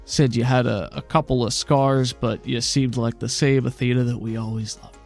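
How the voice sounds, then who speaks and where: A man playing a gentle, loving father in a tabletop game, speaking in halting, reassuring tones. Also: mid-range voice, fairly even intonation, faint music behind, natural voice. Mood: hesitant, awkward. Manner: halting, soft reassurance with frequent stammers.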